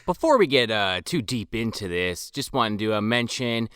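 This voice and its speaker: A man hosting a podcast, relaxed and chatty as he plugs the show. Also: fairly low pitch, moderate pace, smooth, no echo, studio quality. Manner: laid-back, reads show plugs. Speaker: podcast host. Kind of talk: casual podcast chat.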